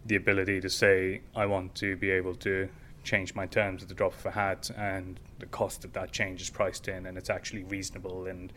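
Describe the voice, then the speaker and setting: A man's voice: deep voice, completely flat delivery, a little fast, hardly any pauses, a bit bright, slight background noise. A young man, a startup founder, answering in a casual interview with long explanations full of fillers and restarts.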